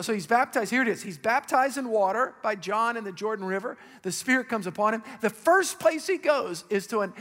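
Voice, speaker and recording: A male pastor with a raspy voice preaching a sermon, calling out questions to the congregation with rising emphasis. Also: sits high, a bit bright, long reverb tail.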